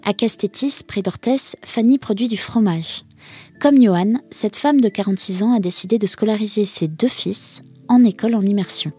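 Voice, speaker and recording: Breathy woman narrating a report. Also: medium pitch, darkish tone. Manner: measured, informative. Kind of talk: scripted news narration. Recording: voice-over with faint music.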